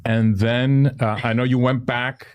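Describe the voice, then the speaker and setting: A man's voice: medium pitch, flat delivery, measured pace, clean tone. Male host recapping, checking facts. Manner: conversational, recapping guest's life with checking questions. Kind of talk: interview.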